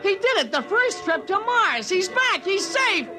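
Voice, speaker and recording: An excitable small-town boy narrating a 1950s radio drama. His telling is breathless, earnest and full of wonder. Music sits loudly behind the voice on the vintage recording. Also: extremely high pitch, measured pace, heavily acted. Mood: elated.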